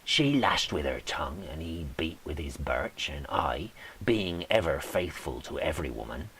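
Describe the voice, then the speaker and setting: A man reading a poetic monologue with a droll, deliberate delivery. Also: a bass voice, sing-song, fairly bright tone, a little hiss or hum. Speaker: poet reading own poems. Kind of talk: dramatic monologue poetry reading.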